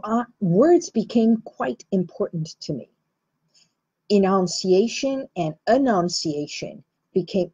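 Slow, mellow woman with an Armenian accent. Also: fairly low pitch, husky, dull audio. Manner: slow, thoughtful, earnest. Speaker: healing practitioner and host. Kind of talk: reflective talk to camera.

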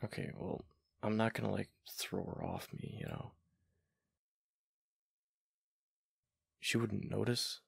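A man voicing a flustered, affectionate young gamer boyfriend in a boyfriend ASMR roleplay, with a deep, gravelly, halting murmur. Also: wildly swooping pitch, dry recording, heavily acted. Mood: flustered, sheepish.

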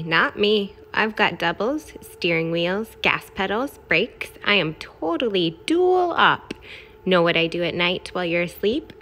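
A brash garbage truck from a picture book, acted out by a woman, proud of being smelly. It speaks in punchy, exclamatory phrases at a slow, deliberate pace, with a medium-pitched voice. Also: a bit bright, exaggerated voice. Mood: boastful. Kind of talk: expressive children's picture-book read-aloud.

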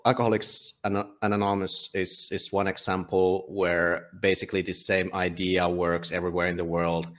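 A man's voice: deep voice, a bit bright. A man from Finland, a native Finnish speaker, talking casually and bluntly as an interview guest, swearing freely. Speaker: podcast guest, volunteer book publisher.

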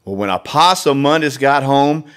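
A man's voice: mid-range voice, expressive, moderate pace, a bit bright, clear, resonant tone. A man reading a children's storybook expressively, with conversational asides to young listeners. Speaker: read-aloud storyteller.

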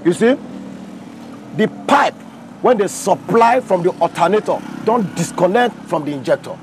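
A man with a Nigerian accent playing a smooth-talking fake mechanic, coaxing a customer outdoors on a street. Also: sits high, animated. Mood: persuasive. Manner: fast, persuasive, coaxing patter in Pidgin. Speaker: mechanic character. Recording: faint street noise behind.

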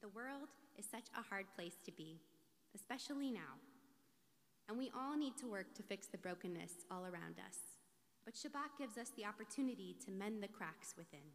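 A woman's voice: mid-range voice, lively intonation. Woman preaching in a reverberant hall. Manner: measured, deliberate phrasing with rhetorical repetition. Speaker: rabbi giving a sermon. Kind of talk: scripted sermon, read from a lectern. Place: large synagogue sanctuary, indoors.

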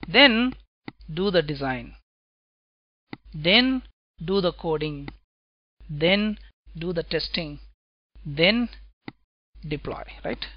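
Guy teaching software testing, super slow and careful, dull lo-fi screencast audio. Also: fairly high pitch, animated, a little hiss or hum. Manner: slow, deliberate explaining, tag questions "right?". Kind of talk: screencast lecture.

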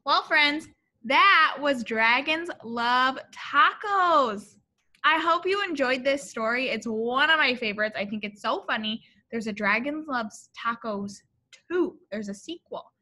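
A young woman reads a children's story in a high, bright voice. She takes her time with the phrases, exaggerating them expressively and speaking directly to young listeners like a teacher. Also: lively intonation, a little slow. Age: young adult. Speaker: teacher reading a picture book to children. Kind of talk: animated children's story read-aloud. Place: classroom, indoors.

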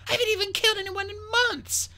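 A woman's voice: extremely high pitch, fairly even intonation, quick pace, sharp tone, pitched above the performer's own voice. A woman voicing a fiery, sarcastic young female dragon in an indignant manner for an audiobook.

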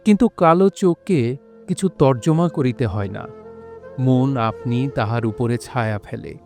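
A man narrating a literary story in a formal, measured, solemn style. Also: mid-range voice, darkish tone, soft background music, lightly acted. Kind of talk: scripted literary narration in old formal Bengali.

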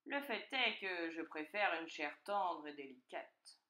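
A woman's voice: lowish voice, lively intonation, a little slow, pushed and bright, roomy sound. A woman voicing a hungry, boastful and gullible male fox in a children's storybook, with a gloating, theatrical manner. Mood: pondering.

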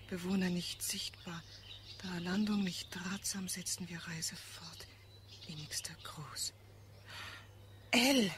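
An actress playing an anxious, devoted wife in a radio play, with an earnest, emotional delivery. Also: female voice, on the low side, noisy background. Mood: agitated. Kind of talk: German radio play acting. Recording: clear background birdsong and ambience. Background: birdsong in a garden scene.

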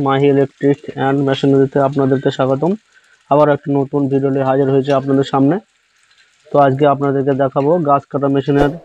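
A man hosting a hands-on repair tutorial, explaining each step slowly and repeating instructions, recorded on a phone indoors. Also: mid-range voice, a little mellow, lo-fi sound. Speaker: repair channel host. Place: indoor workbench.

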